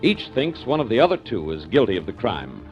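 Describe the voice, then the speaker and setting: A man announcing and recapping the story in a formal, measured way for a 1940s radio drama. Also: fairly low pitch, wildly swooping pitch. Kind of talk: scripted narration. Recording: dull old radio broadcast with organ.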